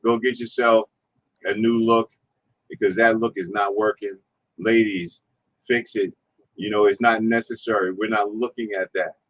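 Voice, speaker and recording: A man's voice: lowish voice, fairly even intonation, moderate pace, dry recording, lo-fi sound. A man hosting a casual chat, laid-back and fond of repeating his agreement. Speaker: host.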